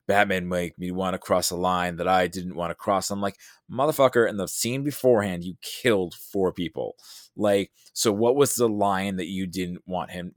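A man in a casual pop-culture discussion, talking haltingly and often rephrasing mid-thought. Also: sits low, no room sound, studio quality. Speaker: podcast guest.

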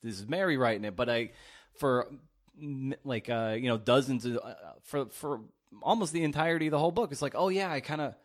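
Halting, informal male podcast host. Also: medium pitch. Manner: halting, informal, restarts phrases often. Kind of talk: casual interview conversation.

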